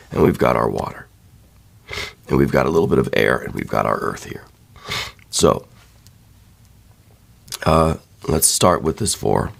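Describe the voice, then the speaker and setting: A man with a hoarse voice, worn down by laryngitis, giving a soft, thoughtful ASMR tarot reading. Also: a bass voice. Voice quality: hoarse, breaking into whispers. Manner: soft, thoughtful, with many fillers and repeats. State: losing his voice from laryngitis. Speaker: tarot reader.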